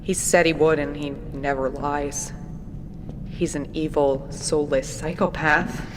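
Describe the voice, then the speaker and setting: A woman's voice: sits low, fairly even intonation, takes it slowly. Earnest young woman on noisy tape. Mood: uneasy. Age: young adult. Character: earnest, persistent young female researcher documenting a building. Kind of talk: horror audio drama acting, field recordings. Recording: noisy lo-fi tape field recording.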